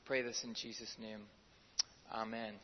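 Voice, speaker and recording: Male service host, halting and prayerful, muffled sound in an echoing hall. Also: on the low side, little pitch movement, crowd noise. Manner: warm-hearted, hesitant, repeats words. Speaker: church leader and service host. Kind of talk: introducing a guest and praying. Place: church hall. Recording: dull distant hall recording.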